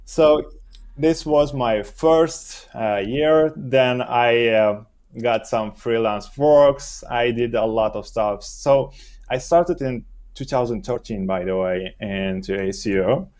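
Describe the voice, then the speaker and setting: A young adult man whose first language is Romanian, answering interview questions in a halting way while he searches for words. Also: mid-range voice, a little mellow. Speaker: guest, SEO expert.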